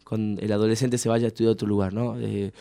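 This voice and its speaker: A man's voice: lowish voice, flat delivery, fast, gravelly, warm tone, dry recording, clean studio sound. A man from Tucumán with an Argentine accent, a musician in his thirties, talking casually in an interview and rambling with many fillers and restarts. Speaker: musician guest.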